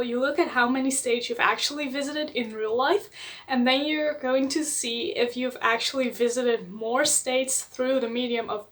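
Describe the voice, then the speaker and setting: A woman's voice: high voice, a bit bright. A young woman from the Netherlands, chatting enthusiastically in a casual book vlog and laughing often. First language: Dutch. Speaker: book vlogger.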